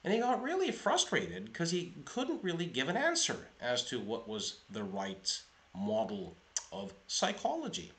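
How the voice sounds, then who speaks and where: Slow, lively-voiced Norwegian-raised man. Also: medium pitch. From Norway. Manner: measured, explanatory, thinking aloud. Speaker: psychotherapist. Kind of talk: solo video lecture.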